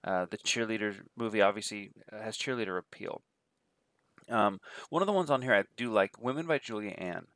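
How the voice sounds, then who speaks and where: A man's voice: fairly low pitch, a little fast, a bit bright, no room sound. A man with a cold and a nasal voice, giving a rambling, casual spoken review of films and listing titles with many fillers. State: has a cold. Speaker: adult film reviewer.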